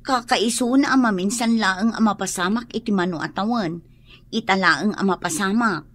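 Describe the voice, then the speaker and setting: A woman's voice: medium pitch, moderate pace, a bit bright, dry recording, natural voice. A woman acting in an Ilocano radio drama as an eager, forgiving former classmate, speaking emphatically with an Ilocano accent.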